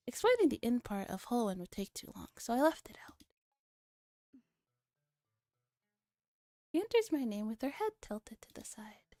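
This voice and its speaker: Woman reading as a mellow, self-important young man. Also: fairly high pitch, no echo, studio quality. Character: young man narrator, dramatic, self-important, easily exasperated. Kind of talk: visual novel read-aloud.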